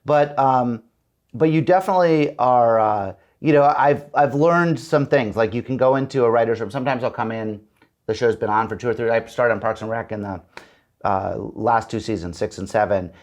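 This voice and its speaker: A middle-aged man with a voice of ordinary pitch talks casually as an interview guest. He tells stories with energy, restarts his sentences often and acts out the lines he quotes. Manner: animated storyteller, quick restarts and acted-out quotes. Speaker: guest, TV comedy writer.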